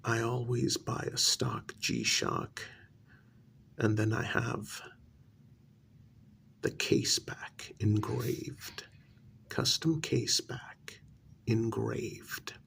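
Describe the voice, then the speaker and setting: A man playing a coldly vengeful, aristocratic vampire master in an ASMR role-play, half-whispering with deliberate, mock-polite menace. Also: medium pitch, very animated, a bit bright, heavily acted. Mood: quiet menace. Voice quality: breathy half-whisper with gravelly creak.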